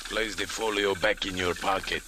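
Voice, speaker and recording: A hissy old radio recording carries a low-voiced male henchman, played by an actor. He is coolly menacing and gives ornate, stilted commands. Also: steady tone, normal speed, close to an everyday voice. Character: menacing, formally spoken knife-wielding henchman in Cairo. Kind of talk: 1940s radio acting.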